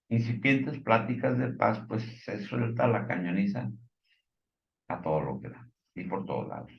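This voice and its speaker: A Mexican man with a fairly low voice gives informal commentary on the news. He speaks very slowly and haltingly, feeling for words and stumbling as he reads. The sound is dull and echoes around the room. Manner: halting, hesitant delivery with filler words and stumbles. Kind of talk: informal news commentary, partly reading reports.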